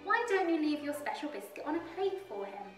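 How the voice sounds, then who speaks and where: Storybook mouse girl, female reader: high, firm, helpful, a bit bossy. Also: big pitch swings, fairly quick. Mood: explaining. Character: young girl mouse ballerina, helpful, bossy big cousin. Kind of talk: children's storybook character voice. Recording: roomy echo, music behind.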